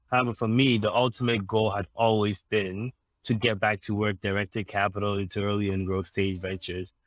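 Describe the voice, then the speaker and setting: A man from Ghana, an impact investor, answering interview questions in a measured, explanatory way with frequent ums and restarts. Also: on the low side, no room sound.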